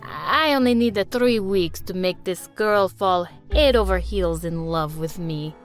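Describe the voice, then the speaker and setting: Woman voicing cocky rich Italian boy, low and slow, accented, soft music underneath. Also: lightly acted. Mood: teasing. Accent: put-on Italian. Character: cocky rich young Italian man, smug teen. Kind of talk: storytelling voice acting.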